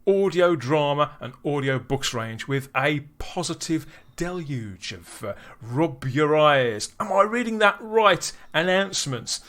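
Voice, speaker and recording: A man hosting a podcast, chatting casually and delivering upbeat, theatrical links with quick laughs. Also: a little high, expressive, fairly bright tone. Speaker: podcast host.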